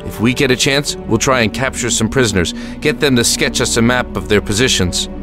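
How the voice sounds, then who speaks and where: A man's voice: mid-range voice, brisk, natural voice. A man as a resolute, rallying airborne officer. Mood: firm. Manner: firm, military, rallying. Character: resolute, rousing male Allied airborne officer. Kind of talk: audio drama acting. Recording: music under the voice.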